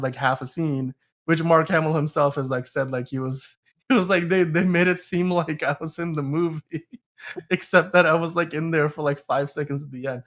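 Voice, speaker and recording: A man hosting a podcast in a casual, rambling, chatty way, talking through entertainment news. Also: on the high side. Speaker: host of a film news podcast.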